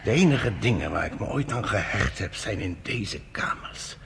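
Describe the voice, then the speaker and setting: A desperate middle-aged professor. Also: male voice, on the low side, lively intonation, normal speed, gravelly, background noise. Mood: resigned. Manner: pleading, desperate, voice breaking upward. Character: anguished middle-aged professor, father of three. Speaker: professor and father. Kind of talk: radio drama acting.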